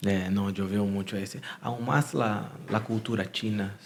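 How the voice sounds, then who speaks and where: A man's voice: lowish voice, fairly even intonation, moderate pace, gravelly, slight background noise. A man with a Brazilian accent, a native Portuguese speaker, hosting an informal interview chat and mixing in words of his own language. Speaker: podcast host.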